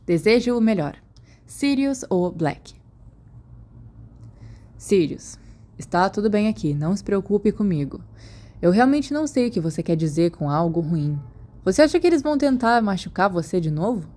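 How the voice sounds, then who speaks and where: Brazilian female narrator, mid-range pitch, for scripted fiction audiobook reading. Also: big pitch swings, normal speed, pauses often, mellow. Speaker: podfic narrator.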